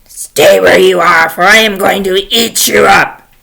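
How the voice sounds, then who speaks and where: Storybook troll, acted by a woman: ugly bully, loud, menacing, bright forceful edge with some gravel. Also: medium pitch, fairly even intonation, measured pace, slight background noise, heavily acted. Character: horrible ugly bullying troll under a bridge.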